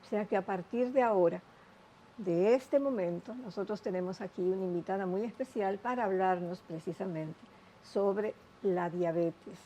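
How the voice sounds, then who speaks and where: A woman hosting a TV health interview show, speaking in a measured, explanatory way with many asides. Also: medium pitch, mellow, highs cut off, a little hiss or hum.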